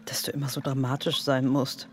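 An actor playing a sardonic, probing male confidant with a gravelly voice in an intimate radio drama. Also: medium pitch, monotone, fairly quick, a little hiss or hum, lightly acted. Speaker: supporting actor.

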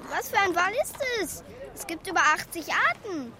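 A woman's voice: extremely high pitch, expressive, moderate pace, a crowd in the background. A woman playing a naive, earnest wooden puppet boy in a children's radio play, precocious and pedantic.

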